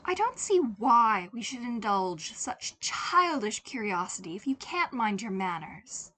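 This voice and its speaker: A woman's voice: on the high side, very animated, a little slow, bright, forceful tone, exaggerated voice. A woman voicing an elegant, haughty mother in a story, prim and lightly teasing as she talks to her young son. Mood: prim, scolding.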